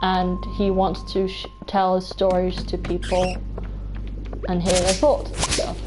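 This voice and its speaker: Slow, rambling young woman. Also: on the low side, completely flat delivery, noisy background. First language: Welsh. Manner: rambling, full of "like" and restarts, giggly. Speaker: streamer. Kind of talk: casual chat while playing a game. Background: game music.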